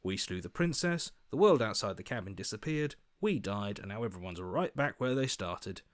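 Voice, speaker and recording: A man voicing an earnest, anxious young hero in a video game, with a hesitant, questioning, expressive delivery. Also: on the low side, normal speed, no room sound, studio quality, lightly acted. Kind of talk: video game character acting.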